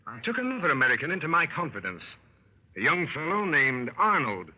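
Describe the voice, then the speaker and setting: An actor playing a plucky gentleman adventurer, telling his exploits wryly in 1930s radio style. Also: on the high side, a little fast. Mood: eager storytelling. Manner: wry, animated storyteller. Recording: muffled 1930s radio broadcast.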